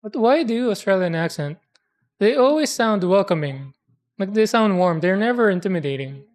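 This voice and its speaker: A woman with a Filipino accent, chatting casually and giving her opinions in chatty, drawn-out asides. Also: medium pitch, expressive, a little mellow. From Philippines. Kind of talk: casual chat.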